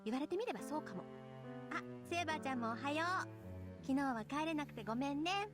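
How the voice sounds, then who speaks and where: A bubbly young woman in an anime visual novel, high-pitched and talking very fast. She is carefree and playful, like a breezy big sister, with loud music behind her. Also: animated. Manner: playful, breezy, casual speech. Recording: game dialogue over loud music.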